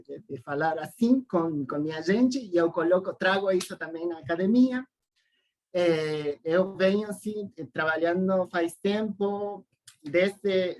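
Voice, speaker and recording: A speaker from Argentina with a Spanish accent giving an informal academic talk on a video call, halting and repeating words. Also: medium pitch. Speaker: guest lecturer, academic and activist. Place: online Zoom call.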